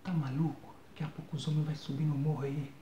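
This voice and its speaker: A man's voice: fairly high pitch, level tone, fast talker, warm tone, lo-fi sound. A young Brazilian man doing an ASMR roleplay in agitated, slangy street talk. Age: young adult. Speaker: ASMR creator. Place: small bare bedroom, indoors. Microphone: phone used as microphone, close in front. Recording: roomy, noisy phone recording with clicks.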